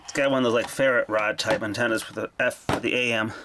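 A man's voice: lowish voice, steady tone, fast talker. A man giving an informal, offhand hands-on product demo, speaking from behind a handheld camera. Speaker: video creator demonstrating a vintage radio. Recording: background noise, handling clicks.

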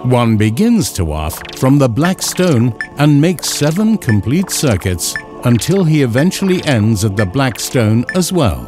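A man reading scripted instructional narration in a measured, formal, carefully enunciated way. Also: mid-range voice, sing-song, darkish tone, faint music behind. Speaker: narrator of an educational film.

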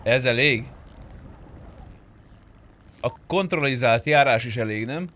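A man's voice: mid-range voice, fairly bright tone, a little hiss or hum. A man chatting informally and asking questions while playing an online roleplay game. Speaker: gamer. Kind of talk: casual chat during online roleplay gameplay.

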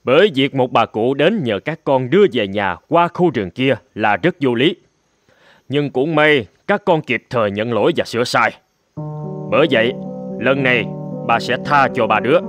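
A man's voice: medium pitch, animated, normal speed, a bit bright, lightly acted. A man playing a stern but forgiving father of young boys in a cartoon. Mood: firm, forgiving. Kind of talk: cartoon voice acting. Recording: music under the dialogue.

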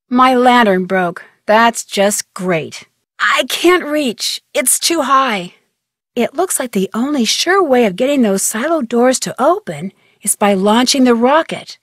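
A woman's voice: on the high side, no echo, studio quality. A woman playing a plucky, polite young amateur detective in a video game, earnest and thinking aloud. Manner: earnest, crisply phrased, self-narrating.

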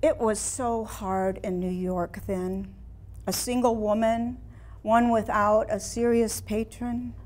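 A woman in her sixties playing a proud, wistful woman painter in a theatrical, deliberate staged reading. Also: on the high side, steady tone, a little mellow. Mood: rueful. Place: small room in a house before a seated audience.

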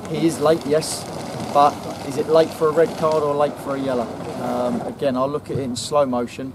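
A man in his forties with an English accent, a football manager giving a measured post-match interview outdoors at night. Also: a little high, flat delivery, background noise. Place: outdoors in front of a sponsor board at night.

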